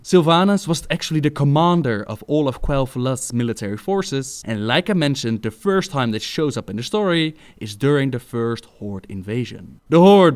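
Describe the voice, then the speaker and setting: A man telling a story as a video narrator, in a scripted lore narration style. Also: medium pitch.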